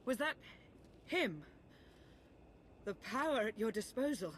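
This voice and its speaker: High-voiced, earnest hero. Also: male voice, very animated, slow pace, a bit bright, a little hiss or hum, full character voice. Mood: concerned. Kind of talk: video game voice acting.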